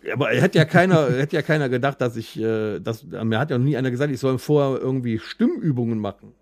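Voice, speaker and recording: A man's voice: medium pitch, animated, fast talker, no room sound, clean studio sound. A man co-hosting a podcast in casual, joking banter, talking colloquially and crudely. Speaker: podcast co-host.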